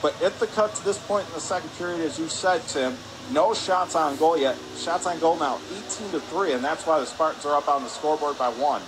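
A man's voice: a little high, fairly quick, few pauses. A man doing live radio play-by-play of ice hockey, in clipped running phrases that rise with the action. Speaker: play-by-play announcer. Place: indoor ice rink stands. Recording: clear rink noise behind.

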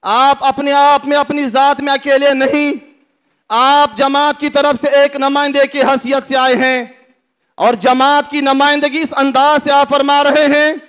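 Very high voiced pakistani man preaching slow and fiery at a lectern, shouting lines in a big hall. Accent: Pakistani Urdu. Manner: impassioned, rising to shouts, rhetorical questions. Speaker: religious party speaker. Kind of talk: fiery rally speech. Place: large packed hall, indoors. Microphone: lectern mic.

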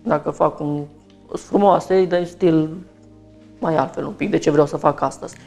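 A man's voice: a little high, fairly even intonation, gravelly, darkish tone, faint music behind. A man in his thirties from Romania, a barber who explains each step of a haircut while he works, talking through it in a small shop.

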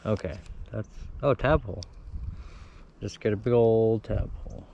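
A man's voice: fairly low pitch, measured pace, creaky, a little hiss or hum. A man chatting to viewers while fishing at a pond, calling each cast and bite as he goes. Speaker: fishing vlogger. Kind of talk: casual play-by-play while fishing. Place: outdoors by a pond. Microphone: head-mounted action camera. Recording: dull lo-fi outdoor camera audio.

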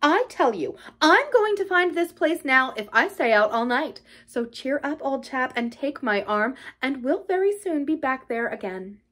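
A woman voicing a kindly, loyal gentleman rat in a storybook, with a chummy, old-fashioned manner. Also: sits high, very animated, normal speed, hardly any pauses. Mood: hearty, brisk. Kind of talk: storybook character acting.

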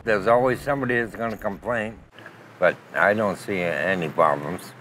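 A local man giving relaxed, matter-of-fact answers. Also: lowish voice, normal speed, slight background noise, low-quality recording. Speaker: local resident.

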